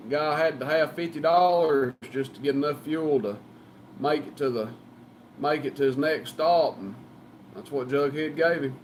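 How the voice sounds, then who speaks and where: A man's voice: a little high, moderate pace. Southern guy shooting the breeze on cb radio, jokey and folksy, faint noise behind him. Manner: folksy, joking. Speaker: CB radio operator. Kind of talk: casual banter over CB radio.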